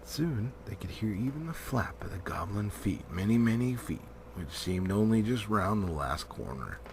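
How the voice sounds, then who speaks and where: A man's voice: lowish voice, expressive, normal speed, a little mellow. A man giving a theatrical, emphatic storybook narration as an amateur audiobook reader. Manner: theatrical, emphatic, with varying volume. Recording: noticeable background noise.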